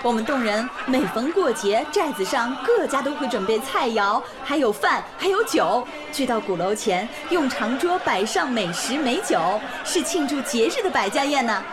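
An actress playing a local village teacher who explains customs in a friendly way. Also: female voice, on the high side, very animated, moderate pace, few pauses, music in the background. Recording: loud festive crowd behind.

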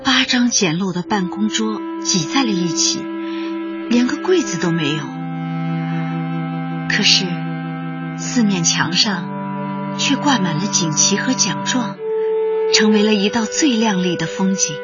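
A woman's voice: medium pitch, animated, a little slow, long pauses, dull audio, lightly acted. A young woman playing an idealistic community worker, narrating a radio drama in a reflective, scripted first person. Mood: moved, reflective. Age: young adult. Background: loud instrumental music.

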